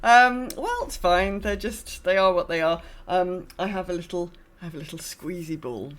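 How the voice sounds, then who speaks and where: A woman co-hosting a podcast in casual chat, talking in a halting, self-deprecating way. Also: on the low side. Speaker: podcast co-host.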